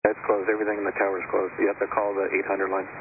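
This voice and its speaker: A man's voice: deep voice, completely flat delivery, rapid-fire, phone-quality sound. A man working as an air traffic controller, talking in clipped phrases over an aviation radio. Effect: aviation radio transmission.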